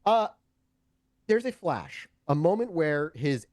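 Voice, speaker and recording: Male game master, improvised tabletop narration, animated pitch, profane storytelling, dry sound. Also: slow, dry recording.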